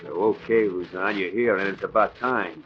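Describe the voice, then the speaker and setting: An actor playing a bossy gangster boss with brisk, slangy tough-guy talk in a 1940s radio crime drama. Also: sits low, soft background music, lightly acted. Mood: impatient, bossy. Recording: noisy, muffled vintage radio recording.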